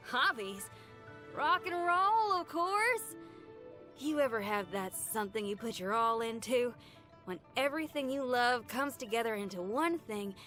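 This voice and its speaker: A woman voicing a spirited, brash but good-hearted young female rock musician in a video game, chatty and folksy in her expressive delivery. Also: on the high side. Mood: passionate. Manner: folksy, colloquial, chatty and enthusiastic. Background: background music.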